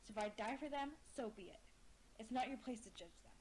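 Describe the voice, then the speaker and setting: A woman's voice: a little high, animated, a little fast, a little mellow, low-quality recording. A woman playing the wistful, lonely daughter of a time-travelling hero, speaking earnestly and reflectively over some background noise. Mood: pleading, defiant.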